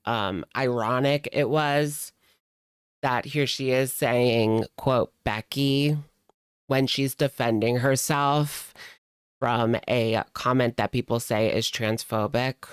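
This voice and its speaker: A reaction streamer talks through commentary in a casual, sardonic, gossipy way. The delivery is unhurried, and the voice is clear and smooth at a medium pitch, with a dry, close sound. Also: steady tone, no room sound.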